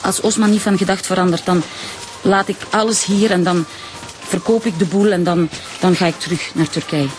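A woman's voice: fairly low pitch, steady tone, moderate pace, natural voice. An actress playing a proud, middle-aged widowed Turkish shopkeeper and protective mother in a radio drama, emphatic and indignant. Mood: weary, firm. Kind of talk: naturalistic radio drama acting.

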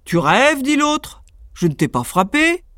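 A storyteller voicing two quarrelsome, brutish male giants who shout at each other indignantly. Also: high voice, very animated, unhurried, no room sound, studio quality, voice pushed up, heavily acted. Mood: angry.

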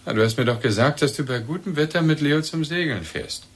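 An actor playing a bereaved, agitated husband in a German radio play, probing and insinuating in halting, pointed phrases. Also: male voice, fairly high pitch, lightly acted. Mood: probing, suspicious. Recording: roomy, echoing radio drama recording.

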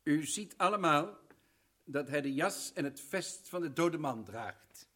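A commanding colonel who accuses in a firm, deliberate voice in a radio play. His voice sits slightly high. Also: expressive, pushed and bright, heavily acted. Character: commanding male colonel investigator. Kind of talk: old radio drama acting.

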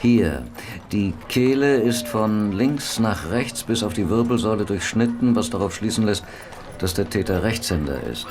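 An actor playing a dry, unflappable Victorian police doctor in a radio play, matter-of-fact and clinical. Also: male voice, on the low side, expressive, moderate pace, noisy background, lightly acted. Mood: clinical, absorbed. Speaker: actor in an audio drama. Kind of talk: German radio play acting.

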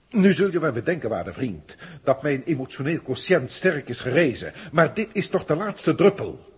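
A haughty old-school alien proctor in a sixties radio drama. The voice is very husky and rather high, swinging widely in pitch with pompous, irritable formality. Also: male voice, heavily acted. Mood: indignant, haughty. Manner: pompous, formal, emotionally indignant. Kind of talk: 1960s Dutch radio play acting.

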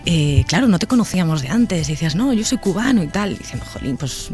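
High-voiced effusive man, lilt. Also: sing-song, fast, a little mellow, faint music behind. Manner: chatty, effusive. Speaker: radio host. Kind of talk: radio interview chat.

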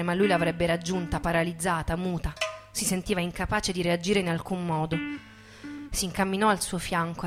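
A woman giving a dramatic, scripted stage narration with intense, measured storytelling. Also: lowish voice. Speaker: actress and narrator.

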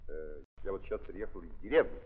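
An actor playing an earnest, idealistic prince, courteous but halting and declamatory, in the manner of 1930s Russian radio theatre. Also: male voice, low-pitched, lively intonation, breathy edge. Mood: impassioned. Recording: muffled 1930s recording, long hall reverb.

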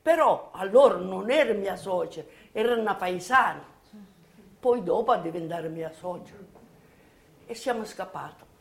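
An elderly woman recounting her memories as personal testimony, halting and often repeating herself as she goes. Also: medium pitch, animated, vocal fry. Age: 80s or older. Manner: halting, repetitive storytelling. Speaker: witness giving video testimony. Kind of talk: personal reminiscence, spoken testimony.

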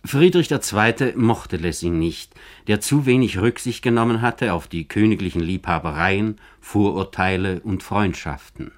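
A man narrating a scripted biographical radio feature in German in a formal, measured manner. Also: lowish voice.

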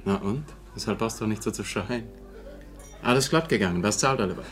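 An actor playing a brash, glib and slangy younger son in a 1950s German radio drama. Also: male voice, lowish voice, a little fast, a bit bright, faint music behind, natural voice. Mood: brash, incredulous. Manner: cocky, slangy, offhand.

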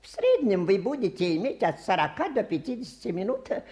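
A touchy old-fashioned showman fakir in a radio drama. His pitch swoops widely as he boasts in fussy, halting, grandiose phrases. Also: very animated, darkish tone. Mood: indignant, self-important. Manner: pompous, halting, fussy theatrical patter. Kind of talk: radio play acting.

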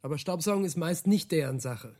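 Skeptical interviewer guy in radio drama, soft dark tone, bit husky, swingy intonation, hesitant dry questions. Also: on the high side, expressive, brisk.

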